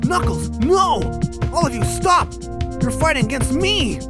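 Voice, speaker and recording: High voice, lively swings, desperate cartoon creature played by a woman, urgent pleading. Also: measured pace, loud music behind the voice. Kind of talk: fan-made audio drama acting.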